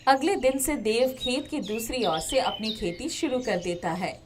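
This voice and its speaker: Woman storyteller over clear background noise. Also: on the high side, animated, a little slow. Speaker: storyteller narrator. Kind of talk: scripted moral-story narration.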